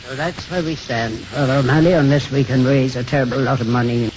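An actor playing a humble old parish priest in a 1950s radio drama, rambling and halting as he talks. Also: medium pitch, fairly even intonation, over music, close to an everyday voice. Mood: downcast. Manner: rambling, halting, self-deprecating. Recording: muffled, lightly noisy 1950s radio broadcast.

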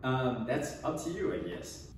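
A man's voice: mid-range voice, very animated, measured pace, recorded from a distance, a little hiss or hum. A young man in his twenties giving a casual, joking review of gym gear in his home garage gym, filmed by a camera across the room. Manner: joking, offhand, trails off with small laughs. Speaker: fitness vlogger. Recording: echoey garage, voice off-mic.

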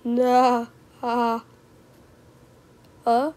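A woman performing homemade character lines as an amateur voice actor, theatrical, with gasps and drawn-out exclamations. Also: on the high side, monotone, a little mellow. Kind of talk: homemade character voice lines, animated movie remake.

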